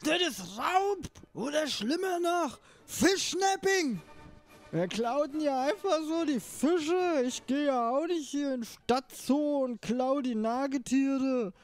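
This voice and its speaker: A very high, slow-talking homeless oddball, plaintive and rambling. He is a scatterbrained, lonely figure from a parody detective audio drama, with light music behind him. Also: expressive. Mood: outraged, panicked. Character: distraught eccentric homeless fish owner Norman, male, scatterbrained and lonely.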